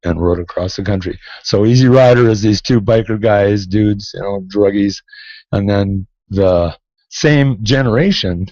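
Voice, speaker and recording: Chatty low-voiced man, laughing guest. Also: a little slow, dark tone, no room sound. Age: 60s. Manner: chatty, self-deprecating, laughs often. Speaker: guest author. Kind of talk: casual radio interview. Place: radio studio. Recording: dry, dull-sounding radio studio audio.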